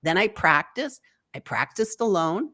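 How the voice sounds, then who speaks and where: A woman guest on a live video interview, giving encouraging tips in an animated way and leaping up in pitch for quoted thoughts. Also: unhurried. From United States. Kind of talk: online interview. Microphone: video call.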